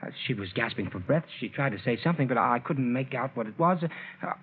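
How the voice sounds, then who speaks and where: Through a muffled vintage radio sound comes a nervous husband in a 1940s drama. His voice is husky, and he stammers in protest with big swings of intonation. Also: medium pitch, lively intonation, brisk. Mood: uneasy. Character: nervous, flustered husband under suspicion.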